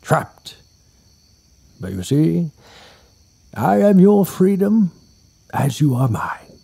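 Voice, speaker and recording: An actor plays an aging, obsessive scholar who talks in formal, theatrical speeches. His voice is gravelly and husky, and it rises and falls a lot. Faint music sits underneath. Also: on the high side, sing-song, slow pace, dark tone, exaggerated voice. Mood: gloating. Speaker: doctor employer. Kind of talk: audio drama acting.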